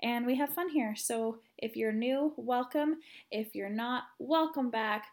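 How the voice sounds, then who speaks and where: A woman's voice: on the high side, measured pace. A woman hosting a podcast in a bubbly, giggly, upbeat way, chatting casually with her guest. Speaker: podcast host.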